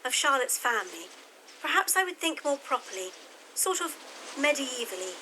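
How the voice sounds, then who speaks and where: An actress playing a brisk, opinionated young heiress in a period audio drama, with a genteel, imperious manner. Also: female voice, high voice, big pitch swings, sharp tone, a little hiss or hum, full character voice, rough recording. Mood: musing, opinionated.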